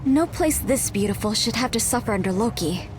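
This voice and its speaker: An actress playing an earnest young hero full of wonder in a video game. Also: female voice, on the high side, expressive, brisk, music in the background, close to an everyday voice. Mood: awed. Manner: wonder-filled, sincere. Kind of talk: video game character lines.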